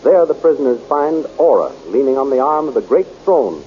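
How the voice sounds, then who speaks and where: A male announcer narrating a radio serial in a dramatic, animated way, on a muffled old radio recording. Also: mid-range voice, a little fast.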